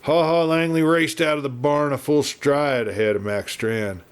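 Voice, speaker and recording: A man's voice: fairly high pitch, steady tone, clear, resonant tone. Man narrating a dramatic western tale. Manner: measured, dramatic storytelling delivery. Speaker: audiobook reader. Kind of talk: scripted western novel narration.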